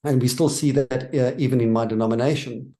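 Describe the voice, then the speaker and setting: A man's voice: mid-range voice, steady tone, brisk, mellow. Earnest South African man, reflective. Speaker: church worker and author interviewed. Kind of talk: earnest reflective interview answers.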